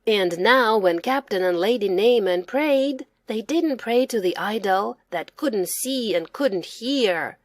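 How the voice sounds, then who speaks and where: A woman reading a children's story aloud as a narrator, in a deliberate, expressive way. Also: mid-range voice, dry recording, studio quality. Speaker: storybook reader.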